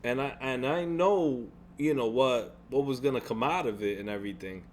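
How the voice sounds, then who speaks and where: Deep-voiced young man venting, rambling. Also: normal speed, darkish tone, a little hiss or hum. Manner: frustrated, rambling with frequent restarts and swearing. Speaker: gaming YouTuber. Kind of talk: casual venting vlog.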